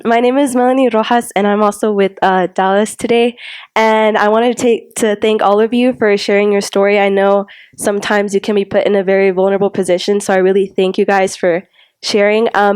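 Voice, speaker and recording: A woman's voice: mid-range voice, a little mellow, clean tone. A young woman from the audience asking a panel a question, polite and hesitant. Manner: polite, hesitant, many ums. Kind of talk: question from the floor.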